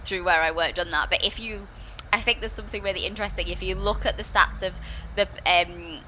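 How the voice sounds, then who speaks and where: Bright Yorkshire woman talking frankly. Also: fairly low pitch, fairly even intonation, brisk, slight background noise, rough recording. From Yorkshire, England. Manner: earnest, chatty, with many restarts. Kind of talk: casual discussion.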